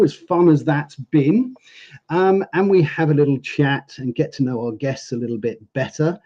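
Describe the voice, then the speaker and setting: A man's voice: medium pitch, warm tone, slightly rough, muffled recording. A man hosting a live online show, chatty and upbeat as he steers the conversation. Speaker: host. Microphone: video call.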